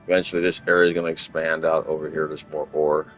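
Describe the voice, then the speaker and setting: A man from Los Angeles, casually talking through his game in a rambling, relaxed way, often repeating words. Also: a bass voice, fast talker, soft background music. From Los Angeles, USA. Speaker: gaming channel host. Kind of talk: casual gameplay walkthrough commentary.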